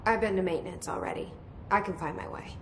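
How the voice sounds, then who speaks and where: An actress playing a resolute young woman who leads a bureau, speaking in a flat, controlled voice. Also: mid-range voice, fast, creaky, a little hiss or hum, natural voice. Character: resolute young woman director, guarded, direct. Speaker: director of a bureau.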